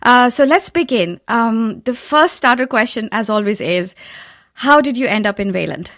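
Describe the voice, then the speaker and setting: A woman's voice: a little high, animated, normal speed, a bit bright, no echo, studio quality. A woman hosting a podcast with an effusive, bantering manner, moving between scripted introduction and chatty interviewing. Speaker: podcast host. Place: closet.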